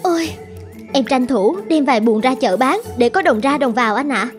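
A gentle young farmer's wife from a cartoon fable. Her voice is high, sweet and earnest, supportive in tone. Faint music runs underneath. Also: animated, moderate pace. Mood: cheerful. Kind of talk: cartoon voice acting.

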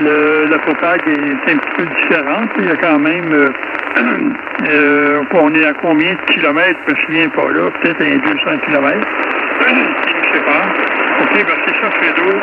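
A man with a Quebec French accent chatting casually as a radio operator, repeating phrases, over a shortwave transmission. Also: fairly high pitch. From Quebec, Canada. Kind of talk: casual radio contact chat. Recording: very noisy, narrow-band shortwave radio reception.

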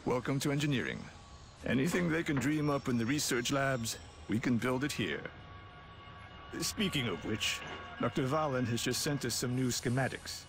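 A man playing the male chief engineer of a workshop, giving a slow, welcoming briefing. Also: medium pitch, fairly bright tone, soft background music, natural voice. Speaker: head of engineering.

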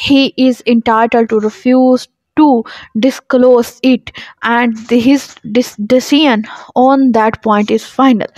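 A woman's voice: fairly high pitch, darkish tone, no room sound, dull audio. A woman with a Pakistani accent giving a law lecture, reading formal text aloud and explaining it in an instructional way, repeating her points.

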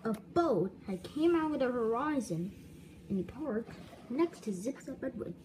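A woman narrating a homemade toy story, ad-libbing with halting restarts, recorded on a phone indoors. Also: a little high, big pitch swings, a little mellow, slight background noise, low-quality recording.

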